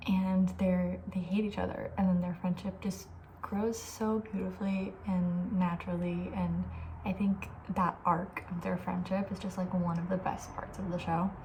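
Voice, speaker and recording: A young woman with a soft, breathy voice, often near a whisper, chatting casually and with playful opinions in an ASMR style. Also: on the low side, flat delivery, moderate pace, slight background noise, low-quality recording. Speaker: ASMR video creator.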